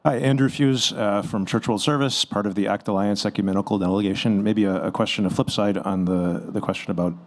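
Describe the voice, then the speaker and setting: A man from a church delegation asking a measured, thoughtful question at a conference. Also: fairly low pitch, fairly even intonation. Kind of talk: audience question. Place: conference pavilion.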